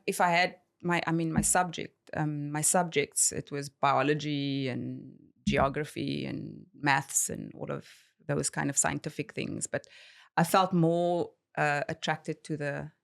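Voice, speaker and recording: Female podcast guest, low-pitched with creak, Afrikaans-accented South African, native Afrikaans, relaxed conversational storyteller. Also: unhurried. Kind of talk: casual interview chat.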